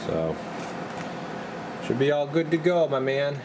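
A man calmly demonstrating and testing a radio in his workshop, talking in a relaxed, halting way as he works. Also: on the high side, heavy background noise. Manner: relaxed, halting, talks while working. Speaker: radio repair technician.

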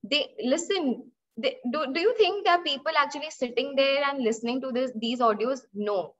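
Indian lady teaching data analytics online, kinda high voice, repeats herself a lot, dull laptop mic sound. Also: normal speed, a bit bright. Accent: Indian English. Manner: explains with examples, asks the audience, repeats and restarts phrases. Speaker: webinar instructor. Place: indoor room, online session. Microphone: laptop mic on a video call. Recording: dull lo-fi video-call audio.

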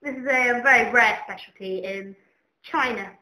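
Young woman, fairly high voice, mock cookery show host, roomy kitchen echo. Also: moderate pace, bright, forceful tone. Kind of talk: mock cooking show presenting. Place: home kitchen. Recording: echoey kitchen room sound.

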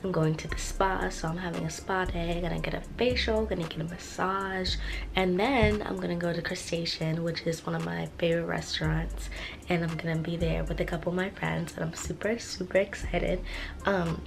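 Just-woken woman vlogger, slightly rough voice. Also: lowish voice, fairly even intonation, moderate pace. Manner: chatty, upbeat, lots of filler ums. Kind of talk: casual vlog chat. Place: bedroom at home. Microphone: phone camera at arm's length.

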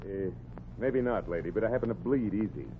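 An actor playing a smarmy, eager skirt-chaser with slangy sweet talk in a 1940s radio comedy. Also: male voice, on the low side, fairly quick, close to an everyday voice. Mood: wary. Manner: slangy, wheedling pet-name sweet talk. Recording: muffled, noisy 1940s radio broadcast recording.

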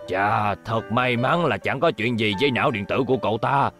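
Casting: man playing older professor, kindly but grave, measured advice, cartoon dub with music behind. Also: medium pitch, fairly bright tone, lightly acted. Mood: calm, grave. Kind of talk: dubbed cartoon acting.

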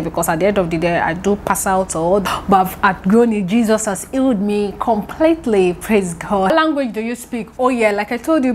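A woman's voice: mid-range voice, a little hiss or hum. Chatty young Nigerian woman vlogging. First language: Yoruba. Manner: chatty, expressive, laughs often, repeats words. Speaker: YouTuber answering viewer questions. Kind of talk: casual Q&A to camera. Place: indoor room with pink wall, seated on sofa. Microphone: lapel mic.

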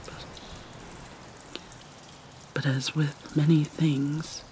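A woman voicing a guarded, wounded vampire mermaid in an ASMR roleplay, speaking in a hesitant, halting, hushed and often breathy way. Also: deep voice, little pitch movement, a little hiss or hum. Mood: wistful, ominous. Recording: rain and water sounds behind. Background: rain and pouring water.

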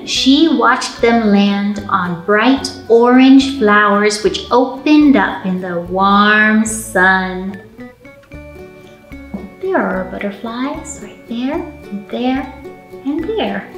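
Lady librarian doing storytime for little kids, slow and animated, echoey room. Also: mid-range voice, soft background music. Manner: animated, encouraging, addressing young children. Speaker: children's librarian and storytime host. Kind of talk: children's storytime read-aloud.

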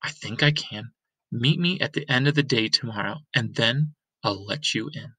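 A man's voice: mid-range voice, normal speed, pushed and bright, husky, no room sound. Storybook boy read by a man: serious, curious, understated, matter-of-fact delivery.

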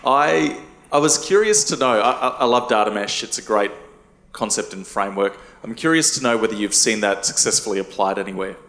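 A man in the audience with a booming voice, stammering a little as he asks a question from across a hall. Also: brisk, fairly bright tone. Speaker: audience member asking a question.

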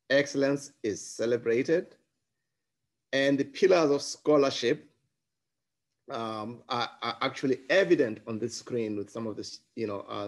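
A man's voice: medium pitch, a little mellow. A middle-aged man, a school headmaster, speaking earnestly and emphatically over a video call from a study. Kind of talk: welcoming webinar address. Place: home study with bookshelves, indoors. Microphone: video call webcam.

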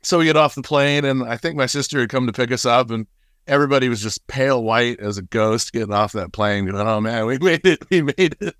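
A man in his forties who grew up in Nepal, a guest telling animated stories with frequent laughs over a webcam from a small room. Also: medium pitch, fairly quick, no echo, clean studio sound. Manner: animated, laughs often, gestures broadly. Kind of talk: casual storytelling. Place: small room with paper screens. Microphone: video call webcam.

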